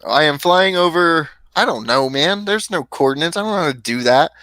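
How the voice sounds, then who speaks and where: A man's voice: fairly high pitch, animated, brisk, pushed and bright. A man in his late twenties hosting a livestream, joking and teasing his co-host. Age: 20s. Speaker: streamer host. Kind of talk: casual livestream chat.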